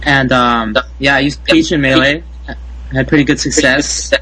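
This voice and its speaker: A man's voice: mid-range voice, steady tone, moderate pace, sharp tone, breathy edge, rough recording. A man from Southern California, a podcast guest, introducing himself hesitantly with many fillers.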